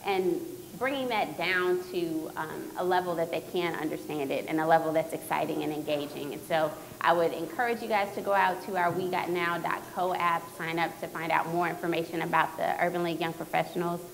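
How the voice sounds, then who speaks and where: A woman's voice: on the low side, fairly even intonation, brisk, vocal fry, hardly any pauses, slight background noise, low-quality recording. A woman introducing herself on a panel, speaking earnestly and steadily. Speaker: panelist, organization president.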